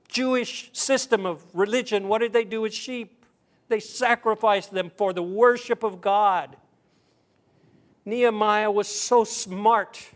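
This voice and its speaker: A man preaching a conversational sermon, putting rhetorical questions to his listeners and repeating phrases for emphasis. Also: high-pitched. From the South (southern US). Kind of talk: sermon with scripture reading.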